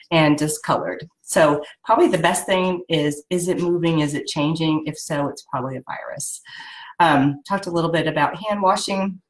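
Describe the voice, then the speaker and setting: A woman's voice: low voice, poor audio. A woman with a head cold and a nasal voice, casually hosting a live video and explaining health tips with lots of ums. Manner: chatty, explanatory, frequent ums and "right?".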